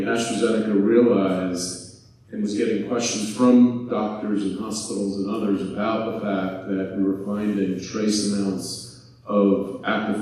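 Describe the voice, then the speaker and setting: A man speaking on a panel, with a loud voice, a casual manner and self-deprecating asides. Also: on the low side. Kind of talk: conversational panel talk. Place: event hall at a hospital. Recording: echoey room, far from mic.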